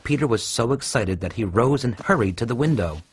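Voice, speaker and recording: A man's voice: mid-range voice, animated, brisk, breathy edge. A man narrating a scripted children's storybook tale. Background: music.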